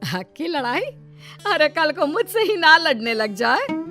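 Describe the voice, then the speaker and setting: A suspicious older mother-in-law from a cartoon family tale, put on by a woman. The voice is fairly high and exclamatory, fussing and questioning. Light music sits behind it. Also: very animated, a little slow, pushed and bright, full character voice. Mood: probing. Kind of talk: animated Hindi moral story acting.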